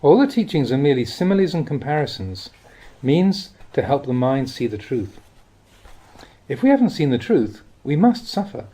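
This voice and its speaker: A man reads a spiritual teaching aloud in a measured, expressive, explanatory way, like an audiobook. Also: mid-range voice, breathy edge. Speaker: reader of Buddhist teachings. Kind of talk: audiobook reading of a spiritual talk.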